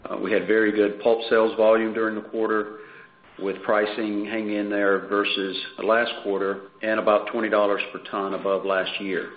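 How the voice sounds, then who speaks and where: A man presenting prepared financial results as a company executive in a measured, businesslike way on a conference call. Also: lowish voice, level tone. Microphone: conference call line. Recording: dull, echoey conference call with faint noise.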